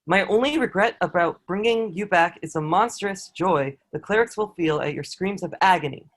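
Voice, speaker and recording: A man acts out a medieval soldier with a notably high male voice. He is a proud, dutiful lackey who is quietly awed by his prisoner. His phrasing is earnest and formal, as in a historical stage play. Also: normal speed. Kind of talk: table-read stage acting of historical drama.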